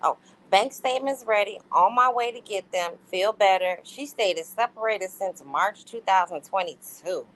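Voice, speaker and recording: A woman's voice: medium pitch, normal speed, hardly any pauses, fairly bright tone, low-quality recording. Casual woman hosting a livestream. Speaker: livestream host. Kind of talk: casual commentary and reading text messages aloud.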